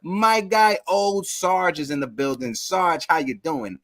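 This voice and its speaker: A man in his thirties hosting a casual sports talk livestream, giving upbeat shout-outs to viewers in the chat. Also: fairly high pitch, unhurried. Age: 30s. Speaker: podcast host.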